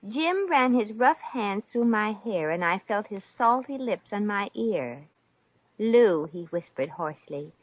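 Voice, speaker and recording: A woman's voice: mid-range voice, animated, a little slow, dry recording. An actress playing a brassy, good-hearted, wisecracking young woman in 1950s radio comedy style, breezy and slangy. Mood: amused, astonished. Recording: dull old-time radio recording.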